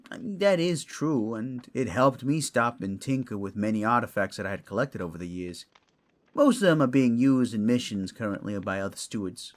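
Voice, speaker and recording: A man acts out a clawed, furry fantasy steward with a very animated, mid-pitched voice that rises and falls freely. He is a theatrical, scholarly tinkerer, given to grumbling and long explanations. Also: moderate pace, lightly acted. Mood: explanatory. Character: furred, clawed male creature, a roguish scholarly artifact-tinkering steward. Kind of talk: fantasy audio drama acting.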